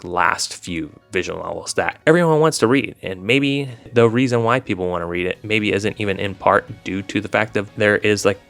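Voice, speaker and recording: A man's voice: sits low, fairly bright tone. A man chatting casually as a video host, rambling along in an informal, filler-heavy way. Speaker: YouTube host discussing visual novels.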